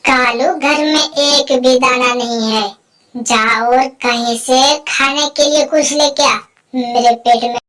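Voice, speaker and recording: Woman voicing a high-pitched nagging crow wife. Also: steady tone, slow pace, a bit bright. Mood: scolding. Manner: nagging, commanding. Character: bossy, hungry, scolding female crow wife. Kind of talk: Hindi children's animated fable dialogue.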